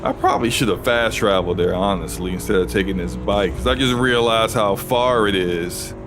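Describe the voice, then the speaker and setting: A man with a deep voice chatting casually while he streams a video game, rambling and restarting his sentences. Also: moderate pace, over music. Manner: rambling, relaxed, frequent restarts. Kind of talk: casual gaming livestream commentary.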